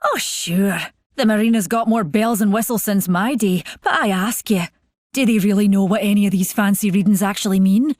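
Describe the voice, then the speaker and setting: A woman's voice: mid-range voice, normal speed, a little mellow, no room sound, clean studio sound, natural voice. An actress voicing a whimsical, nostalgic older woman scientist, who rambles fondly. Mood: wistful. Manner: rambling, wistful, chatty.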